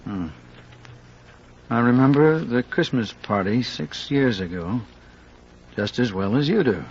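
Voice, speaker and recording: An actor playing a protective, earnest amateur sleuth in an old-time radio mystery, speaking insistently. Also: male voice, medium pitch, animated, a little slow. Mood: puzzled. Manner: indignant, insistent. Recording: muffled, faintly noisy old radio recording.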